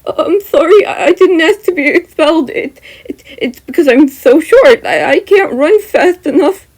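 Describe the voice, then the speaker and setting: Woman reading tiny scared boy, high, stammering, pleading. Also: lively intonation, moderate pace, darkish tone. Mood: terrified. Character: small terrified boy, stammering.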